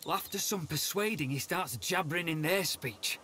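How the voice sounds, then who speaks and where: A rattled rough soldier. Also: male voice, fairly high pitch, moderate pace, sharp tone, slight background noise. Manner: coarse, rattled. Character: rough-spoken shaken male soldier. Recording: game audio under music.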